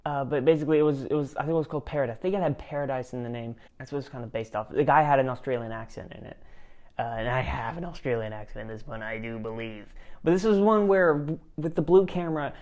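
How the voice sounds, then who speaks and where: A man's voice: a little high, warm tone. A man hosting his channel, rambling through a casual reaction commentary, mocking himself with frequent laughs and restarts. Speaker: channel host.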